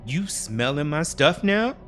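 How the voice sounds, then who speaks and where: A man voicing a smug, controlling boyfriend in an audio drama, sharp, dismissive and slangy. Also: fairly high pitch, big pitch swings, slow, exaggerated voice. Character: smug, controlling, gaslighting boyfriend, casual and impatient.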